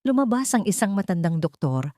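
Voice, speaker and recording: A woman's voice: mid-range voice, very animated, fairly quick, dark tone, no echo, studio quality. A woman reading a scripted story as a dramatic narrator.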